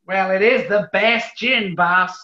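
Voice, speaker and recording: A woman's voice: mid-range voice, takes it slowly, pushed and bright. A woman playing a loyal, deferential male gangster henchman in a 1940s-style radio play. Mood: eager, loyal. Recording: echoey room, dull lo-fi sound.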